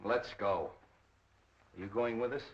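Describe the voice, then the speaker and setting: An actor playing a businesslike police investigator in 1930s film style. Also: male voice, on the low side, a little slow. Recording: muffled, noisy 1930s film soundtrack.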